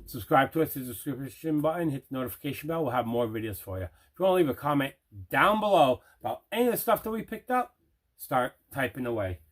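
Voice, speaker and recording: A man's voice: medium pitch, lively intonation, fast. A man hosting a collector's video channel, chatting casually through an unboxing with emphatic, repeated phrases. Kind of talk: casual unboxing vlog chat.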